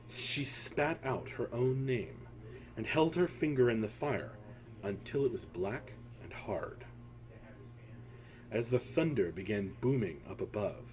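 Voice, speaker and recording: A man telling a fantasy story in a solemn, theatrical way, with deliberate phrasing and dramatic pauses. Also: fairly low pitch, a little mellow, rough recording.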